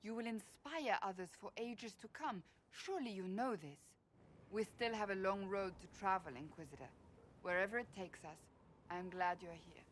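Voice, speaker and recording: An actress voicing a stern, devout female warrior in video game dialogue, proud and earnest, speaking in a low, firm, measured way. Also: slight background noise, close to an everyday voice.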